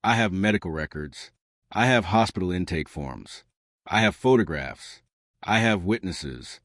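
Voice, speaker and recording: A man's voice: deep voice, animated, a bit bright, no room sound, studio quality, exaggerated voice. A man voicing a steely woman accusing her abuser. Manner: measured, accusing, deliberate delivery.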